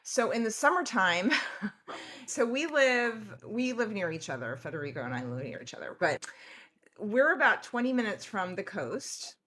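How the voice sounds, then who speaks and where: A woman hosts a relaxed conversation, her voice at an ordinary middle pitch. She talks easily and fills her sentences with "like". The tone is friendly and casual. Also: moderate pace. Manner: chatty, relaxed, uses "like" often. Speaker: podcast host.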